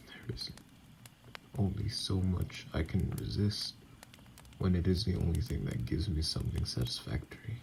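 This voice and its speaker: Man playing a gravelly, brooding vampire outcast. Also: deep voice, background noise, full character voice. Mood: ashamed, despairing. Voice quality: gravelly, breathy, often dropping to a whisper. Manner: slow, halting, brooding delivery. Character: wounded, bitter male vampire outcast, self-loathing and lonely. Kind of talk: ASMR roleplay monologue.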